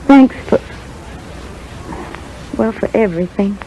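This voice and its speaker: Devoted wife in noisy 1930s radio drama. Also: female voice, medium pitch, slow, dark tone, highs cut off. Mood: loving, wistful. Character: devoted, self-effacing doctor's wife, gently insistent and brave.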